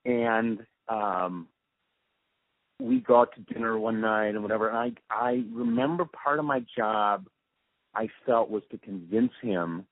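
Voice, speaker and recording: Deep-voiced man on a muffled phone line. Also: unhurried, no room sound. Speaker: interviewee, radio drama post-production supervisor. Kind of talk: reminiscing in an interview.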